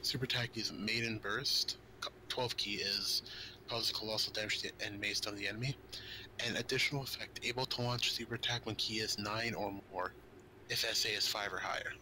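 A man with a low voice talks casually as a gaming co-host. He reads out stats and translations in an informal way. There is clear noise behind him. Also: steady tone, brisk, pushed and bright, rough recording. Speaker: co-host reading game card translations. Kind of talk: casual gaming news chat, reading out stats.

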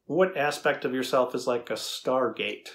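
A middle-aged man playing an earnest, curious AI researcher in a dramatized reading, asking questions deliberately. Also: medium pitch, natural voice.

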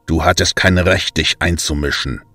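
A man voicing a sulking, bitter male god of the underworld, gruff and scornful, with music behind. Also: very deep voice, steady tone, moderate pace, bright, forceful tone, no echo, pitched below the performer's own voice.

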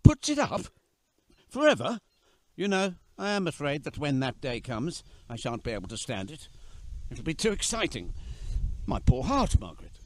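A man's voice: a little high, huge pitch swings, normal speed. A doting middle-aged father acted by a man in a radio play. His voice rises and falls widely as he fusses and exclaims, whimsical, fond and a little anxious. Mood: excited, reluctant.

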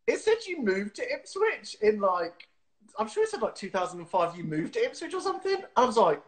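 A man's voice: high-pitched, very animated, a little fast. Guy in his 30s hosting a video call chat from home, giggly, lots of um and like. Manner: chatty and giggly, lots of fillers and restarts. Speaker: host. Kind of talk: casual video-call interview. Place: small room indoors at home. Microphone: webcam on a video call.

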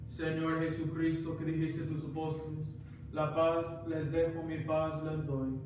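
A man in his thirties, a priest reciting the prayers of Mass in a steady recited manner, in a small chapel picked up by a distant camera mic. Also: a little high, a little slow. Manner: steady recited prayer, chants some parts. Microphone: far camera. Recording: echoey chapel, distant mic, background hiss.

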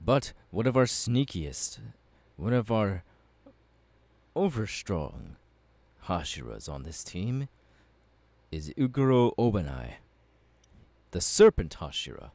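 Guy doing a fiery anime swordsman mentor for asmr roleplay, lowish voice, takes his time, dramatic pauses. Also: very animated, a little mellow, no echo, exaggerated voice. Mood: welcoming, instructive. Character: confident, fiery swordsman mentor, male anime demon slayer. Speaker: voice actor. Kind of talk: ASMR audio roleplay, addressing the listener.

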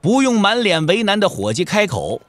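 A male narrator tells a martial-arts tale with theatrical emphasis. His voice sits slightly high and moves widely in pitch, drawing out key endings, in a dry studio sound. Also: huge pitch swings, normal speed, a bit bright, no room sound. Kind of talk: dramatic scripted audiobook narration. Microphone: close studio microphone.

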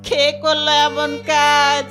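Woman voicing a high, whining young male fox. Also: monotone, a bit bright, faint music behind. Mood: wailing. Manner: whining, wailing, drawn-out pleading. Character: naive, tearful young male fox, a poor rickshaw puller. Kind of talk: children's cartoon dubbing.

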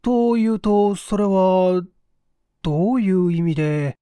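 A man voicing a guarded, melancholy gentleman who answers hesitantly and politely in a story reading. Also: high-pitched, takes it slowly, clear, resonant tone, dry recording. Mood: uneasy, guarded. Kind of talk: acted dialogue in story reading.

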